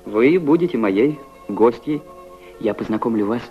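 An actor playing a shy, warm-hearted Soviet delegate in a radio drama, with a halting, tender, coaxing way of talking. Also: male voice, mid-range voice, expressive, lightly acted. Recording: dull lo-fi recording, music behind.

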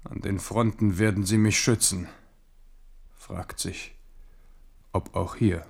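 A proud, aging monarch speaks in a low male voice. He is commanding and sardonic, declaiming with theatrical weight as in a historical radio drama. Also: fairly even intonation, slow, gravelly, exaggerated voice. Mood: grim, resolute. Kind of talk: German radio play acting.

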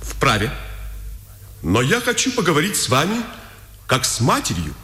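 An actor playing a coldly polite, commanding plainclothes Gestapo officer in a 1950s radio drama, speaking formally in clipped orders. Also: male voice, on the high side, huge pitch swings, moderate pace, bright, forceful tone, heavily acted. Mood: cold politeness. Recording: old echoey radio drama, music behind.

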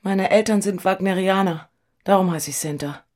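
A woman's voice: medium pitch, moderate pace, a little mellow, no echo, clean studio sound, close to an everyday voice. An actress playing an emotional, infatuated single city woman in an intimate audio drama. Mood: shy, tender.

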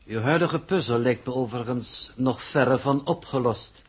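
A man's voice: medium pitch, slightly rough, natural voice. An actor playing a cold, unflappable impostor scientist in a 1960s radio drama, speaking formally and with smug superiority to his captors. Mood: smugly composed. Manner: formal, deliberate, smugly superior. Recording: muffled early 1960s radio recording.

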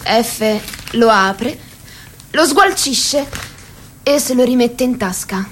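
A woman playing the narrator of a political radio drama, theatrical and deliberate, drawing out the ends of phrases. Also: on the high side, animated, slight background noise. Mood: wry, ominous. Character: female narrator chronicling a grotesque political meeting.

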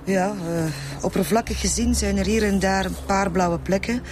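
A woman playing a matter-of-fact forensic doctor in a radio drama, measured, with a Flemish accent. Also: medium pitch, close to an everyday voice. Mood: clinical. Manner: clinical, measured. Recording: clearly noisy outdoor scene ambience.

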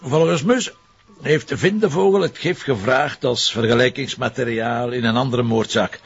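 A man's voice: medium pitch, moderate pace, breathy edge, dull audio, soft background music. An actor playing an anxious, devoted Flemish police commissioner and expectant father in a naturalistic TV drama. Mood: weary, businesslike.

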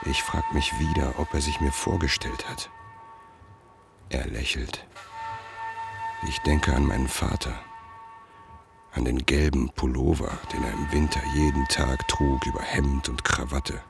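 A very deep, gravelly, brooding man. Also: steady tone, measured pace, pauses often, heavily acted. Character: brooding, suspicious middle-aged male writer with a troubled past. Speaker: narrator and protagonist of a radio play. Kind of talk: first-person radio drama narration, present tense. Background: music.

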